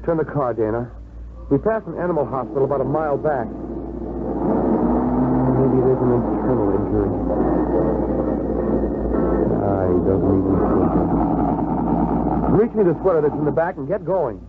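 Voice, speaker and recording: An American actor playing a brisk but tender man in a 1950s radio drama. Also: medium pitch, animated, loud music behind the voice, lightly acted. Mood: urgent, brisk. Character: Mark, brisk, tender man rescuing a hurt dog. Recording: old radio broadcast, narrow band, very noisy.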